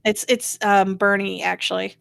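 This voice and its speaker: Female talk host, conversational and wandering, medium pitch, very dry recording. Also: level tone, normal speed, gravelly, a bit bright, no echo. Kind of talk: casual conversational commentary.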